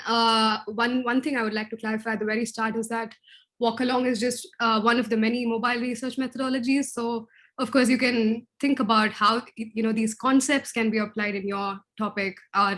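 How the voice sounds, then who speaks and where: A woman's voice: a little high, level tone, fairly quick, fairly bright tone. Woman presenter with a scratchy throat. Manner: explanatory, with frequent throat clearing. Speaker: research associate presenting. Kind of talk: online webinar presentation.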